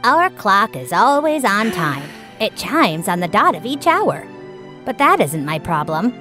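A woman voicing a young, self-assured tiger boy in a children's cartoon, with an expressive, emphatic delivery. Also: mid-range voice, measured pace, soft background music. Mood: matter-of-fact. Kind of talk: children's animated story dialogue.

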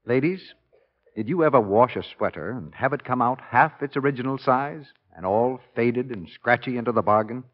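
A man working as a radio announcer, giving a polished 1940s advertising pitch. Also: moderate pace. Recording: dull 1940s radio broadcast.

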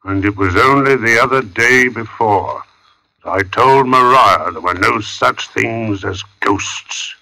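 Working-class tombstone carver, bright forceful tone, unhurried, halting, puzzled, vintage radio drama, music behind. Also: male voice, on the low side, full character voice, rough recording. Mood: puzzled, uneasy. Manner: hesitant, halting, muttering interjections. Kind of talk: 1940s radio suspense acting.

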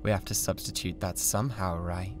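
A British man playing a cold, condescending mad scientist with a god complex in an ASMR roleplay, speaking in a deliberate, theatrical way. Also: sits low, steady tone, over music, lightly acted. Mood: grandiose, controlled. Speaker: ASMR voice actor. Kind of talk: ASMR roleplay, scripted drama.

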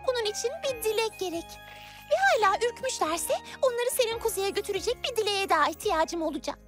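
A young cartoon heroine with a very high, childlike voice. She sounds earnest, caring and expressive, with prominent playful music behind her. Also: brisk. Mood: thoughtful.

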